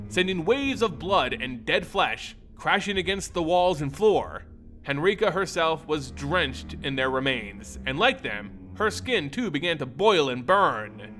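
A man giving a dramatic scripted narration of a fantasy tale in a theatrical, expressive manner. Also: on the high side. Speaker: storyteller.

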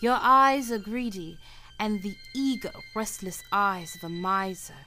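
A woman playing a solemn, unrelenting spirit in a radio play, speaking with deliberate command. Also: medium pitch, pushed and bright, faint music behind. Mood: solemn, accusing. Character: solemn, unrelenting female ghost of the past.

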